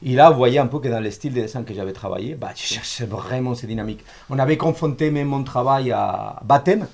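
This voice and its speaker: A man, a guest artist with as his language, telling work anecdotes in a halting, digressive way. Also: mid-range voice, vocal fry, a little mellow. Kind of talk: animated interview anecdotes.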